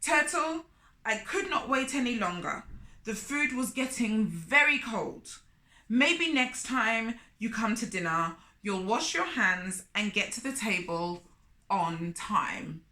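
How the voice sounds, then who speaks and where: A woman's voice: a little high, lively intonation, takes it slowly, pushed and bright, echoey room, heavily acted. A woman voicing a greedy, selfish male spider in a storybook, scolding his guest with fake politeness and stammered excuses. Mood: blaming, impatient.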